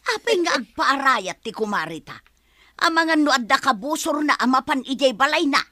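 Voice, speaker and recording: A woman's voice: high-pitched, animated, normal speed, pushed and bright, no room sound. A woman playing a feisty, nosy village gossip in an Ilocano comedy drama.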